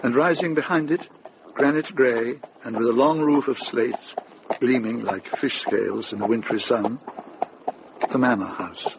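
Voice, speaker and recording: A man's voice: medium pitch, a little slow. A man reading scripted literary narration as the narrator of a radio drama. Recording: dull recording with clear noise.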